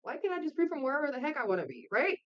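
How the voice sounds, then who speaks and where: A woman's voice: sits high, big pitch swings, rapid-fire, dull audio. A woman from Puerto Rico giving an informal online lecture in a conversational way, often checking in with her listeners. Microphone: video call.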